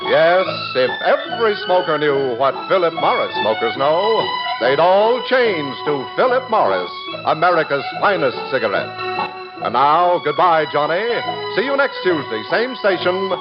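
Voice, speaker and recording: A man reads as a 1940s radio announcer, emphatic and very animated in pitch. The recording is dull and vintage, with music behind him. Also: measured pace.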